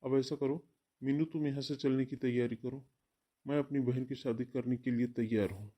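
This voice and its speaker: A man's voice: medium pitch, steady tone, normal speed, mellow, natural voice. A man voicing an earnest, devoted young husband in a cartoon family drama, with a pleading manner. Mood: resigned.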